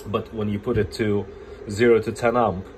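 Low-voiced male repair tech, stuffed up with a cold, walks through a bench test plainly, audible room noise. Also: level tone, normal speed, darkish tone, low-quality recording. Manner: plain, explanatory, some restarts. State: has a cold. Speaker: phone repair technician. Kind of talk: casual hands-on repair demo. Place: repair workbench indoors. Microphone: phone camera held over bench.

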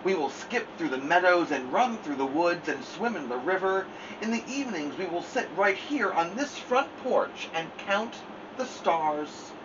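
A man doing a high, eager little frog. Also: unhurried, dull audio. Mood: coaxing. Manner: high excited calling. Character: cheerful eager young male frog. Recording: distant mic with background noise.

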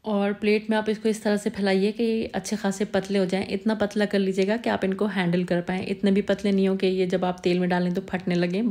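A woman talks through a recipe in a steady, instructive voice-over. Her pitch barely moves, and her tone is soft and mellow. She explains each step patiently, repeating the key tips. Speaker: cooking channel host.

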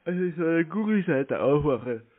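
A man on a phone call, playing a slow customer, obtuse, grumbling and argumentative. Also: a little high, lively intonation. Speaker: scambaiter posing as a victim on a phone call.

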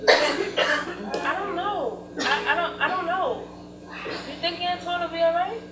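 A woman's voice: very high-pitched, takes it slowly, very noisy. A stage actress playing a hesitant, worried young woman in a gospel play. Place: indoor stage in a furnished hall. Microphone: distant camera. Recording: distant echoey stage recording, loud music.

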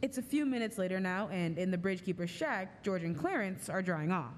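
A woman's voice: medium pitch, expressive, brisk, a little hiss or hum. A young woman performing scripted narration for a stage radio play. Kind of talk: scripted narration and sponsor skit. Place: school auditorium stage.

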